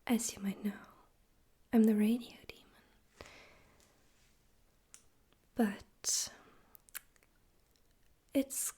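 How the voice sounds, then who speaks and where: Smug radio demon overlord played by a woman, ASMR roleplay. Mellow breathy whisper, higher-pitched, teasing and condescending. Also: steady tone, heavily acted. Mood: amused, puzzled. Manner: slow, teasing, theatrical, condescending. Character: smug male radio demon overlord, politely menacing and amused.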